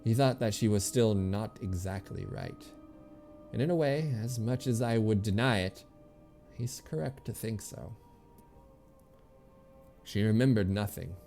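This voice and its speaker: Man reading as a wistful old nursemaid, creaky voice. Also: on the low side, a little slow, pauses often, dark tone, faint music behind. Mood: sorrowful. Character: kindly old woman, a former nursemaid and healer, wistful.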